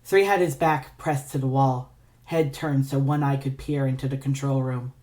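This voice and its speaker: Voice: medium pitch, steady tone, normal speed, a little mellow. A narrator reading scripted fiction aloud as an audio story.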